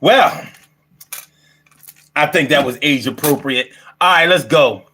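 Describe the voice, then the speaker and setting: A man's voice: a little high, slow, bright, forceful tone, a little hiss or hum. A man in his fifties hosting a casual livestream, talking in brash, slangy, profane style and repeating phrases for emphasis. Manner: brash, heavily profane, slangy street talk. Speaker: livestream host.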